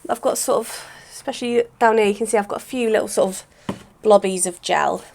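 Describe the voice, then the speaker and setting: A woman, chatting casually through a hair-care vlog, a little croaky, rambling with lots of "sort of". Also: mid-range voice, fairly quick, a little mellow, a little hiss or hum. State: slightly croaky voice. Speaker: hair vlogger.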